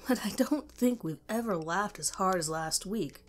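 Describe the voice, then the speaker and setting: A woman's voice: medium pitch, lively intonation, normal speed. A woman reading a scripted first-person story as a narrator.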